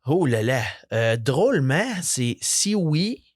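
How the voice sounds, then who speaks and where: A young man with a Franco-Ontarian French accent, a musician chatting as a guest, relaxed and rambling with lots of fillers. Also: medium pitch, slow pace, no room sound, clean studio sound. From Eastern Ontario, Canada. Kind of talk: casual interview chat.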